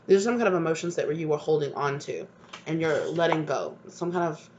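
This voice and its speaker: A woman's voice: low-pitched, animated, brisk, a little mellow. Woman tarot reader, congested, affectionate chatty delivery, dull lo-fi recording with light hiss. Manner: affectionate, repeats "right?" and "come on now". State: stuffed up from a cold. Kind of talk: channeled tarot reading to viewers.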